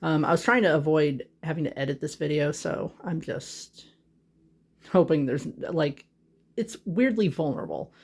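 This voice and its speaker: Rambling vlogger with vocal fry. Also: mid-range voice, sing-song, moderate pace. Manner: rambling, full of "like" and "um" fillers. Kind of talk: casual chat. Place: home office. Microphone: phone held in hand.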